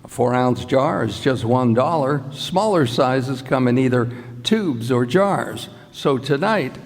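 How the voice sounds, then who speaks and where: Man acting slow old-time radio pitchman, echoey hall. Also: lively intonation. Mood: earnest, selling. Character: earnest polished 1940s male radio pitchman. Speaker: commercial announcer in a radio play. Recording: echoey hall stage recording.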